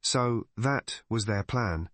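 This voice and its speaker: A man's voice: on the low side, expressive, slow pace, fairly bright tone, dry recording. Curt, bad-tempered police inspector voiced by a man. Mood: brisk, assertive. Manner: brusque, declarative.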